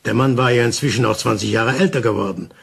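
Husky, deliberate older detective. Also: male voice, mid-range voice, fairly bright tone, full character voice. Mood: reflective, deliberate. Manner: deliberate, dramatic pauses, explanatory. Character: methodical older male detective, calm and insistent. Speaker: actor in a crime radio play. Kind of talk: German crime radio play acting.

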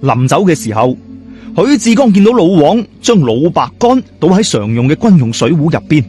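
A man's voice: fairly high pitch, sing-song, normal speed, dark tone, soft background music. A man telling a ghost story in a dramatic, colloquial and suspenseful way.

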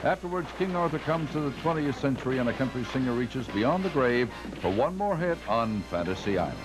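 An American man announces TV shows in a lively, swinging delivery. His medium-pitched voice rides over music in an old broadcast promo. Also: fairly quick, rough recording. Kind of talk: scripted television promo narration. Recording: 1980s TV broadcast with music bed.

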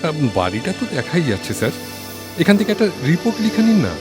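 A man's voice: on the high side, wildly swooping pitch, quick pace, over music. A man playing an anxious, servile office peon in an audio story, hesitant and eager to agree with his boss. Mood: meek, agreeable. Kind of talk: Bengali audio story dramatization.

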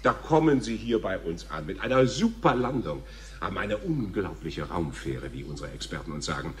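An actor playing a stammering, self-important and patronizing television talk show host in a radio play. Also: male voice, deep voice, lively intonation, normal speed, a little hiss or hum. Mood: incredulous. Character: flustered, smug male TV talk show host. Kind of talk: German radio play acting.

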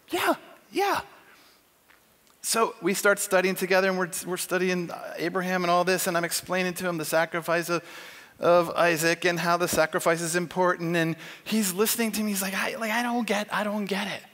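A middle-aged man preaching on a large church stage, emphatic and animated, acting out quoted lines as he tells a story. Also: high-pitched, normal speed. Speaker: conference speaker. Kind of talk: sermon with storytelling. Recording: long hall reverb.

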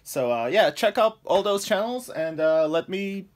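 A man's voice: high-pitched, animated, measured pace. Rambling man filming his collection room. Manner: rambling, many ums and uhs, chuckles. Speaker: collector showing his toy pickups. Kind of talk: casual vlog chat. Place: cluttered collection room indoors. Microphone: handheld phone camera, walking around.

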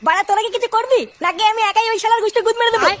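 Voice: extremely high pitch, very fast, a bit bright. A performer voicing a bratty, hot-headed, foul-mouthed male cartoon character in an exaggerated, ranting comic style. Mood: angry ranting. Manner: whiny, ranting.

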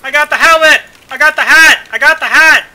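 A gamer chatting casually during play, teasing and bossy, shouting when excited. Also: sits high, fairly quick. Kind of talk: casual gaming chat.

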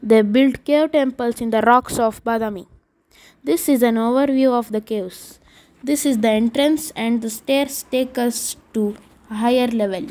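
Mellow-voiced woman narrating a travel vlog. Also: on the high side. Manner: measured, explanatory tour narration. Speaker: travel vlogger. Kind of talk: scripted narration.

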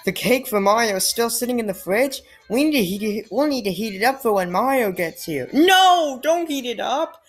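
A woman's voice: fairly high pitch, lively intonation, moderate pace, hardly any pauses, soft background music. A woman giving casual, sarcastic commentary over a game, reading its dialogue aloud. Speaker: gameplay commentator. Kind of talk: casual let's play commentary.

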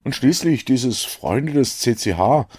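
A man's voice: medium pitch, lively intonation, unhurried, a little mellow, slightly rough, voice pushed up. A man voicing an eager, self-satisfied detective's companion in an audiobook, earnest and a little smug.

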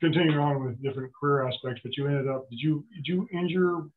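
A man's voice: fairly high pitch, steady tone, fast talker, slight background noise. A middle-aged man hosting an interview over a video call, steering the conversation hesitantly with many fillers. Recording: tinny video-call line.

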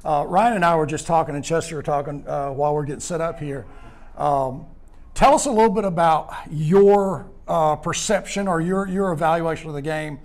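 An older man interviewing high school football players in a clubhouse after a game, upbeat and full of praise. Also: moderate pace, a little mellow. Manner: upbeat, praising, rambling questions. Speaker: postgame interviewer. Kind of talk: postgame sports interview.

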